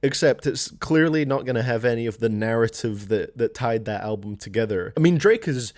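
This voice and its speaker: Male music reviewer, mid-pitched and lively, casual, sardonic, blunt reactions. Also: moderate pace. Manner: sardonic, blunt, mildly profane. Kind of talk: casual album reaction commentary.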